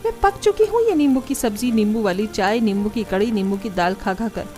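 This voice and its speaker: A woman as an exasperated, high-voiced young daughter-in-law. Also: lively intonation, brisk, soft background music, natural voice. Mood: angry. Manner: complaining, sharp-tongued.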